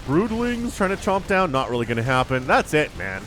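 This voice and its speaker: A man giving casual, chatty live commentary on a video game as a shoutcaster, a bit sniffly with a cold. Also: on the high side, very animated, normal speed, a little hiss or hum. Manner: chatty, informal, slangy asides. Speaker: esports shoutcaster. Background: music.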